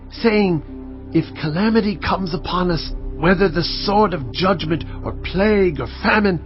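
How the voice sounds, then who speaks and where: Solemn king, commanding, fairly high voice, slightly dull sound, faint music underneath. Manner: solemn, commanding, pleading in prayer. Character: King Jehoshaphat, earnest man leading his people. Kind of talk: dramatised scripture reading.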